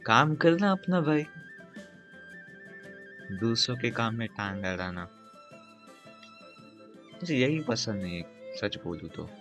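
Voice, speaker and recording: Very fast-talking man, gaming. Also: mid-range voice, expressive. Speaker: live streamer. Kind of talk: casual gaming commentary. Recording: game music behind voice.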